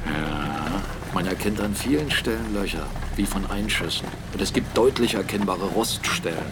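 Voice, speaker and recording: An actor playing a blunt, argumentative captain and mill owner in a German radio drama, speaking emphatically. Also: male voice, deep voice, lively intonation, moderate pace, heavy background noise. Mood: matter-of-fact, grim. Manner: argumentative, emphatic, rhetorical questions. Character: blunt, defiant male mill owner and captain, critical of church.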